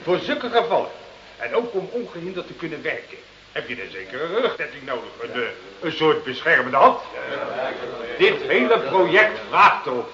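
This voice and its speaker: A man's voice: on the high side, sing-song, normal speed, a crowd in the background. A man acting in a Dutch radio play as a sly, pompous small-town mayor, officious, hemming and full of insinuation. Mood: insinuating. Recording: muffled, echoey old radio drama recording.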